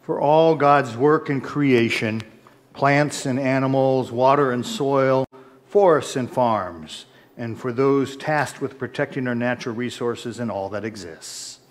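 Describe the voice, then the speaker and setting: A middle-aged male pastor intones prayers from the altar of a large church sanctuary. His voice sits at an ordinary pitch, steady and solemn. It is picked up by a far camera and an altar mic. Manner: measured, solemn intoning, rising in the preface. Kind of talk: liturgical prayer and chanted-style preface.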